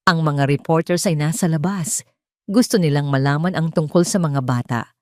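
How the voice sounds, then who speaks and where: A woman's voice: sits low, normal speed, darkish tone, no echo, studio quality, close to an everyday voice. A woman voicing a stern, calculating wealthy mother in an audio story, with a haughty manner. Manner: hard, haughty.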